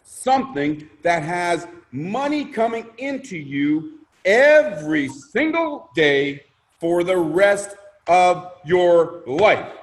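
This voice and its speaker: A male presenter with a high voice delivers a motivational sales pitch. He is emphatic and theatrical, building his points through repetition and questions put to his listeners. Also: animated, slow. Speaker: presenter pitching a crowdfunding scheme.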